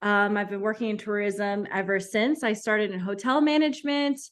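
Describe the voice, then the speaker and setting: A woman from Costa Rica chats as a podcast guest. Her voice sits at an ordinary middle pitch. She explains things in a relaxed, casual way. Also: moderate pace. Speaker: guest, travel agency co-founder.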